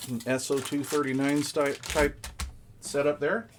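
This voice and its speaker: A man's voice: on the high side, little pitch movement, a little slow, noisy background. A man giving casual unboxing commentary as a product reviewer, in a rambling, folksy, conversational way.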